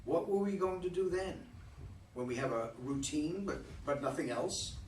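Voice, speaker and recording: A man's voice: fairly high pitch, lively intonation, moderate pace, a little mellow, close to an everyday voice. Man playing an earnest former fiancé, distant roomy sound. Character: earnest, disillusioned man explaining himself to his former fiancée. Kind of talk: dramatic stage play reading. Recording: roomy, distant microphone, faint noise.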